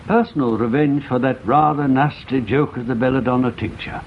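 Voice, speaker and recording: An actor playing an urbane, bookish English gentleman in a radio drama, speaking with wry, erudite precision. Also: mid-range voice, a little fast, dull audio, slight background noise, lightly acted. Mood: wryly amused.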